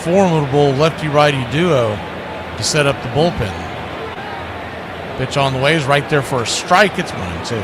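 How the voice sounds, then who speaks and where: A man's voice: mid-range voice, animated, moderate pace, long pauses. A man doing live radio baseball commentary as a play-by-play announcer, with folksy, colorful phrasing. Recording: clear outdoor ambient noise behind.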